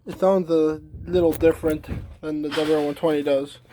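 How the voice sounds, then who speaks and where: Man, slightly high voice, casual hobbyist demo, halting, unscripted, trailing sentences. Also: level tone, warm tone, slight background noise. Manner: halting, trails off mid-thought. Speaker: hobbyist demonstrating a weather radio collection. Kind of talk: casual unscripted product demonstration.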